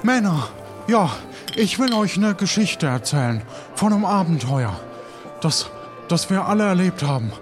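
A man playing a theatrical pirate ship's cook in an improvised audio adventure, phrasing things dramatically and haltingly. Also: high-pitched, big pitch swings, over music. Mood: solemn, dramatic.

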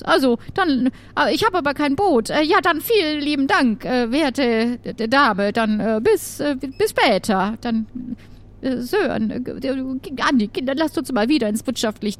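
A woman voicing a small, excitable adventurer who chatters in a stumbling, bubbly way in an improvised audio play. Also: sits high.

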